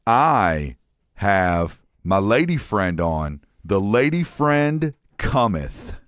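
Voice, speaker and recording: Casting: young male podcast host, lowish voice, dry close sound, loose joking storyteller. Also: big pitch swings, extremely slow, clean tone, no room sound. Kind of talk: casual podcast chat.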